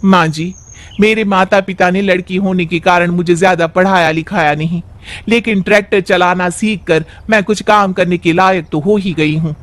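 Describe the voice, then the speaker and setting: A man's voice: sits high, fairly even intonation, normal speed, a bit bright, no room sound. A man voicing a determined young village daughter-in-law, earnest, respectful and dutiful.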